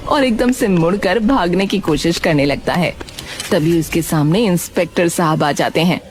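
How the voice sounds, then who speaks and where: A woman's voice: on the low side, normal speed, a little hiss or hum. A woman narrating a cartoon story in a scripted storytelling style. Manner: storytelling, expressive.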